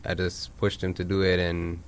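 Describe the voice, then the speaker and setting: A man's voice: low voice, monotone, normal speed, a little hiss or hum. A young man from Canada, speaking in an easygoing, friendly way as a podcast guest. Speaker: guest musician. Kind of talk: casual interview chat.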